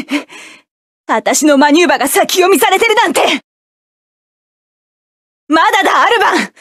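A woman's voice: very high-pitched, a bit bright, no echo, studio quality, heavily acted. An actress playing a proud, cool-headed female mecha pilot who barks clipped, scornful battle lines. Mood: fierce. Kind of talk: video game battle voice lines.

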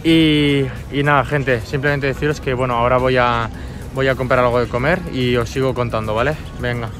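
A man's voice: mid-range voice, flat delivery, brisk, over music. A young man from Spain talking casually to his phone camera outdoors, calling his viewers "gente" and "chavales". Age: 20s. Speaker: travel vlogger. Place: outdoors near an airport, by a parking lot. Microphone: handheld phone camera at arm's length.

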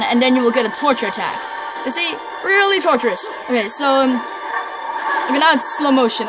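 A woman's voice: high-pitched, very noisy. Chatty young woman gaming. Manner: chatty, rambling with many ums and laughs. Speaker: gamer playing through a game. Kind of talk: casual gameplay commentary. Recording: talking over loud game music.

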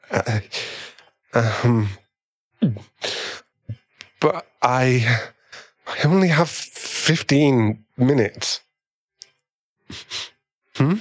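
A man playing a doting, easily swayed millionaire boyfriend in an ASMR roleplay, pleading and halting, with breathy sighs. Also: on the low side, no room sound. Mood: flustered, yielding.

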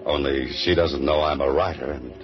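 Dry, joking man, mystery writer, 1940s radio play, very low voice, dull old broadcast. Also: fairly even intonation, normal speed, music in the background. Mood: wry narration. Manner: dry, wisecracking. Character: wry male mystery writer turned adventurer. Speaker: lead actor. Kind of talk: 1940s radio drama acting.

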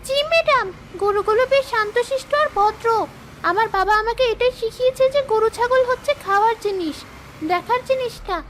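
A woman's voice: very high voice, a little fast, a little hiss or hum. A woman voicing a mischievous little ghost schoolgirl in a children's cartoon, with a whiny, childlike manner. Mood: curious, polite. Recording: loud children's cartoon music behind.

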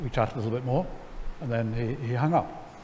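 A middle-aged man, seated on stage, telling a story to an audience in a wry, animated way, full of ums and restarts. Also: medium pitch, brisk, a little hiss or hum. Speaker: lecture guest. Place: large church sanctuary before an audience. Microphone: headset mic. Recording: hall reverb, faint ambience.

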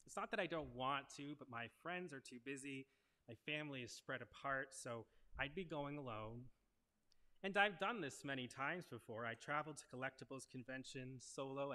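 A man's voice: medium pitch, normal speed, bright, forceful tone. Young man preaching in a reverberant church. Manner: earnest, conversational preaching with rhetorical questions. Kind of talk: sermon. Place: wood-paneled church sanctuary at a lectern. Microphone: headset mic.

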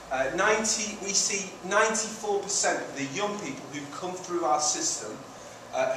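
A middle-aged man with a fairly high voice speaks informally to a meeting. He uses short emphatic phrases and rhetorical questions. The sound is heavily echoey, caught by a distant mic in a community centre room. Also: noisy background. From Manchester, England, and Italy. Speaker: charity founder giving a presentation. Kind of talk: informal public presentation. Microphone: distant camera at the back of room.

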